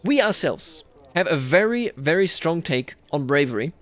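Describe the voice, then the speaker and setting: Higher-voiced man musing through game commentary. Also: big pitch swings, normal speed. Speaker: gaming video host. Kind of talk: roleplay game commentary, thinking aloud. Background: music.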